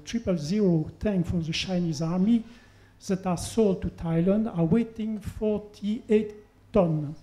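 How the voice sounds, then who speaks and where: A man from Belgium stands up from the audience to put a careful, drawn-out question to a panel. His voice is mellow and darkly toned, and he repeats key points for emphasis. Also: sits high. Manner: slow, deliberate, repeats for emphasis. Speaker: audience member asking a question. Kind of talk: formal question at a panel event.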